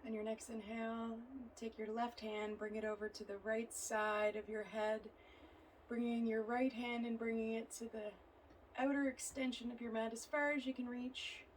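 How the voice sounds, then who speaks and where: Woman guiding yoga in an even tone. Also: a little high, a little hiss or hum, rough recording. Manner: soothing, gentle, unhurried phrasing. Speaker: yoga and meditation instructor. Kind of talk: guided yoga and relaxation instruction.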